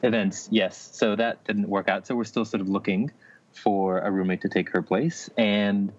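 A deep-voiced young man chats as a podcast co-host. He tells stories hesitantly, with understated dry humour, over slightly muffled audio. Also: fairly even intonation, moderate pace. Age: young adult. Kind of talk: casual chat and anecdote.

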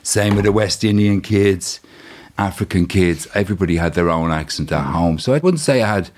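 Deep-voiced man in his fifties. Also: a little mellow. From South London and Dublin. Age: 50s. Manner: halting, searching for words, many restarts. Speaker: interview guest. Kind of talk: reflective interview conversation.

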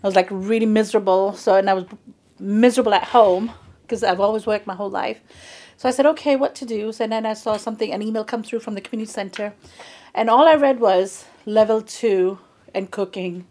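A woman's voice: medium pitch, a little fast. Chatty woman telling a story. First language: English. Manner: chatty, animated, acts out quoted speech. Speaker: interviewee. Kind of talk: casual storytelling.

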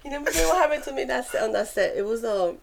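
A woman from New York with a Puerto Rican Bronx accent, a comedian guest chatting fast and animatedly on a podcast. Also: high-pitched, creaky, over a noisy crowd. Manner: fast-talking, animated, laughs often. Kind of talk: casual podcast chat.

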